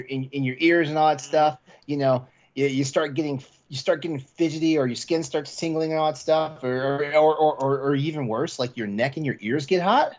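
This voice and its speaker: A man's voice: fairly high pitch, a little mellow. Man in casual chat, slightly muffled sound. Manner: rambling, repeats words and phrases emphatically. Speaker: podcast co-host. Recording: slightly dull, lo-fi voice track.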